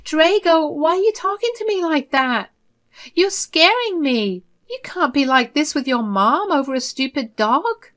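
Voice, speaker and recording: A woman voicing an indignant, manipulative middle-aged mother who whines and turns shrill when challenged. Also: lively intonation, moderate pace, fairly bright tone. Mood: whiny.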